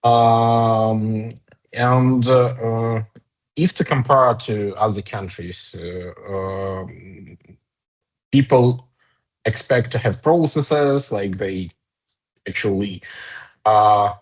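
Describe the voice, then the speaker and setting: A man with a Ukrainian accent, an HR director in his thirties, answering interview questions in a halting way with many fillers and restarts. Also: lowish voice, lo-fi sound. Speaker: HR director guest.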